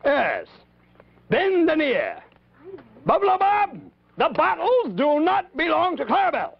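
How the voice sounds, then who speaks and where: Cantankerous old puppet fellow, sputtering, indignant, wildly swooping pitch, muffled vintage TV sound. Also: male voice, wildly swooping pitch, measured pace, gravelly, heavily acted. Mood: smug. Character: cantankerous, blustering, stubborn old male marionette grump. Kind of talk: 1950s children's television puppet acting.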